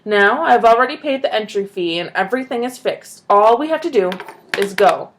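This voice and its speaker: A woman voicing an excitable, kindly old grandfather who bursts into loud, eager shouts. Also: medium pitch, normal speed, a little hiss or hum, natural voice, low-quality recording. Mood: coaxing.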